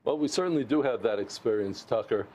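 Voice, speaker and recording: A man's voice: medium pitch, darkish tone, muffled recording. A man in his sixties from the United States, a former mayor interviewed remotely on television, speaking earnestly with frequent restarts on a lapel mic. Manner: earnest, halting with many restarts. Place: remote studio.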